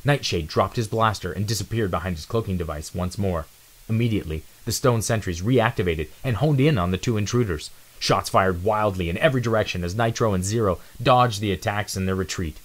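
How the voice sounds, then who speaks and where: A man's voice: fairly low pitch, brisk. A man giving a dramatic scripted reading of an adventure storybook as narrator.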